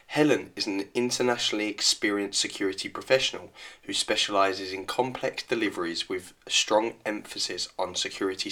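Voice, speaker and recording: A man hosting a podcast, reading a scripted introduction of his guest in an even delivery. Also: on the low side. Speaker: podcast host.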